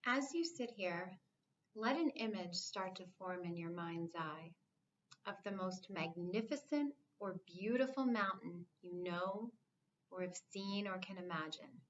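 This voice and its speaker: A woman leading a guided meditation as an instructor, speaking in a calm, soothing, measured way with long pauses. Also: on the low side, muffled recording, a little hiss or hum.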